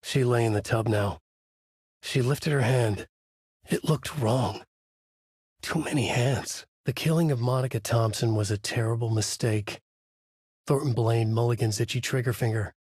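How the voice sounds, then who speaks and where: A male actor plays a haunted writer from a horror video game, husky and close, with a very dry sound. He is brooding and grave, delivering his words deliberately like noir narration. His pitch sits in an ordinary male middle range. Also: a little mellow, no room sound, close to an everyday voice.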